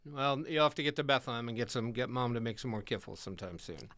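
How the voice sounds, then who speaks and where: An American man playing Santa Claus as a kindly, chatty old man hosting a casual interview, gentle and reassuring. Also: medium pitch, expressive, fast talker, no echo. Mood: warm, reassuring. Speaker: podcast host.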